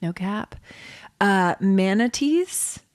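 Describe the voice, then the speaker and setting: A woman's voice: mid-range voice, lively intonation, drawn-out words, no room sound, studio quality. A woman co-hosting a podcast in casual, bantering conversation. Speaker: podcast co-host.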